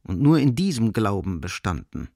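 A sombre literary narrator in a radio play. He has a low voice and phrases gravely and deliberately, in a dry, close studio recording. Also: very animated, a little mellow, no echo.

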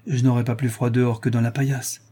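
A man's voice: medium pitch, level tone, fairly quick, dark tone, slightly rough, natural voice. A man voicing a poor, hungry child in a storybook reading, in a plaintive manner.

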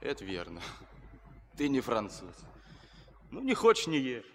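A man's voice: mid-range voice, huge pitch swings, unhurried. An actor in a Russian radio play playing a hearty, teasing ship's cook, proud of his food. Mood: teasing, mock-offended. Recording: old radio play, long reverb, faint noise.

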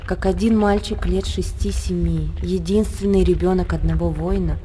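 An actress playing a grave, mournful narrator in a radio drama, with a measured literary delivery. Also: female voice, lowish voice, fairly even intonation, warm tone, a little hiss or hum, lightly acted. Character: mournful female narrator recounting human misery.

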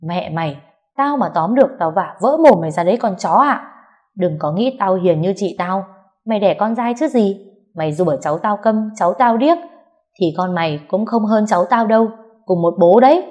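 A woman voicing a fiery, foul-mouthed younger sister who shouts and scolds in a high, sharp voice. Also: animated, normal speed, close to an everyday voice. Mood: furious. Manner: loud and fierce.

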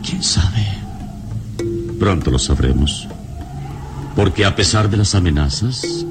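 An actor playing a heroic, resolute adventurer in a radio serial, speaking in a solemn, theatrical, deliberate way. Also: male voice, sits low, expressive, over music. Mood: solemn, determined. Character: heroic male adventurer, calm, noble, resolute investigator. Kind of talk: Spanish-language radio serial acting.

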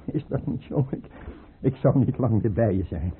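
A man playing a suspicious Russian emperor in a period radio play, erratic and husky, swinging from muttered questions to shrill commands. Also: animated, normal speed, slight background noise, lightly acted. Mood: pleading, fragile. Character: volatile, suspicious Russian emperor, imperious yet pleading. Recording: muffled, tinny old radio recording.

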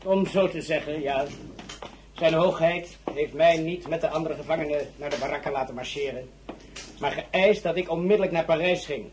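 An actor playing a sober, loyal French colonel and prisoner of war, formal and deferential, in an audio drama. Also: male voice, a little high, moderate pace, darkish tone, slight background noise, natural voice. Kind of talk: Dutch audio drama acting.

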